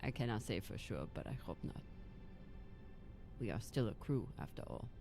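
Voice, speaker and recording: A woman's voice: very deep voice, expressive, moderate pace, over music. An actress playing a guarded, blunt woman captain in a dramatic sci-fi video game. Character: guarded, stern, blunt female space captain.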